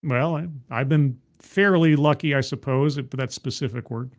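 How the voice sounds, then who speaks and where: A man's voice: mid-range voice, moderate pace. A man commenting live on a video he is watching, making wry, skeptical asides and thanking viewers in the chat. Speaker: streamer reacting to a video. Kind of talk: casual live-stream commentary.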